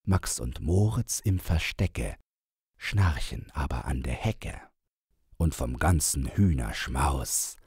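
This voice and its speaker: A man narrating a rhymed children's story as an audiobook, in a deliberate, theatrical storytelling manner. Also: extremely low pitch, creaky, darkish tone, slightly rough, dry recording, studio quality.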